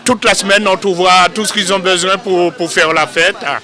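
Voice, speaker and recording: A man's voice: sits high, steady tone, moderate pace, sharp tone. A man working in a shop, talking casually in an on-the-spot interview.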